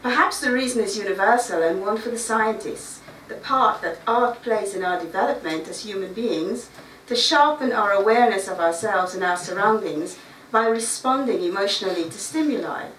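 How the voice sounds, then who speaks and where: A woman's voice: medium pitch, fairly bright tone, a little hiss or hum. Guyanese woman reading her talk onstage. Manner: reflective, measured reading with asides. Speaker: writer giving a talk. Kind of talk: reading a prepared talk aloud. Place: small dark stage before a seated audience. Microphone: stand mic, filmed by a far camera. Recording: roomy, distant camera recording.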